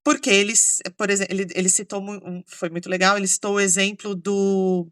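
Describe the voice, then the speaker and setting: Brazilian woman host, bright voice, dry close sound, chatty storyteller. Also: mid-range voice, level tone, a little fast, clear, resonant tone, dry recording. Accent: Brazilian Portuguese. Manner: chatty, storytelling with many fillers. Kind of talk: casual conversation.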